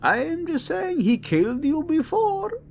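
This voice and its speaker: A man's voice: very high-pitched, sing-song, measured pace, creaky, soft background music, full character voice. An actor playing a cruel, gloating old king in an audio drama, sneering and drawing out his words with sinister relish. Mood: sneering, contemptuous. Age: older.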